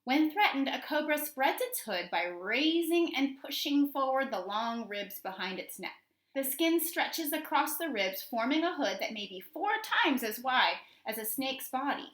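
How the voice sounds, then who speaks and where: A woman teacher reading children's nonfiction aloud, addressing young listeners directly with deliberate, instructional phrasing. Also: high-pitched, lively intonation, fairly bright tone, roomy sound.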